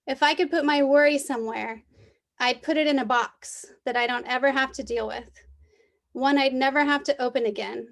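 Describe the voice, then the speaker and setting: Woman w a highish voice, poetry mentor talking on a video call reading. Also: fairly even intonation, normal speed, vocal fry, fairly bright tone. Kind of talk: introducing and reading a poem aloud.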